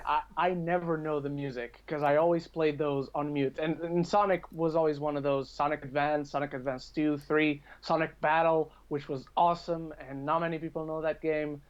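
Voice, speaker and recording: A man's voice: a little high, little pitch movement, moderate pace, muffled recording. A man chatting casually on a podcast in a rambling way, often correcting himself as he goes. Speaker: podcast speaker. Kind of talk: casual podcast chat about games and films.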